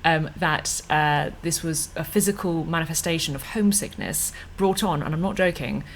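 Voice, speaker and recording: A woman's voice: deep voice, normal speed, a little hiss or hum, rough recording. An English woman co-hosting a podcast, chatting playfully with many fillers. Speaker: podcast co-host. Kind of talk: casual chat.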